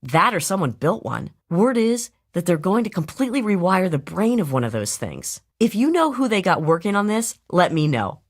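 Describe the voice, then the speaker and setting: A woman playing an excited, conspiratorial informant in a video game audio log, gossipy and casual. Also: on the low side, moderate pace, few pauses. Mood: intrigued.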